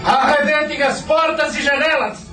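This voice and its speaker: A man's voice: very high voice, steady tone, normal speed. A man playing a commanding Roman general barking orders in a radio drama. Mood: stern, commanding. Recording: distant, echoey, under loud music.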